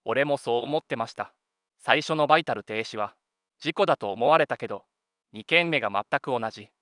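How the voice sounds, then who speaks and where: Junior male detective, acted by a man: sharp, bright mid-range voice, polite grumbler and straight man in a crime drama. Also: medium pitch, brisk, dry recording, studio quality, close to an everyday voice. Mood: grumbling, puzzled. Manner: polite but complaining to his senior. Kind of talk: scripted detective audio drama.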